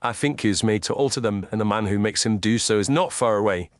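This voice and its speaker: A man voicing a coolly commanding gentleman detective in an audio story, formal, clipped and incisive. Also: lowish voice, fast, no echo, studio quality, natural voice. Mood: cool, careful.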